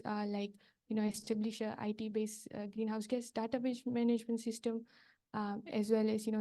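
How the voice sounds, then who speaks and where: A soft-spoken woman from Fiji gives a workshop talk as a project coordinator. Her voice is mellow and somewhat high. She pauses often and fills the gaps with hesitations. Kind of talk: workshop presentation without slides.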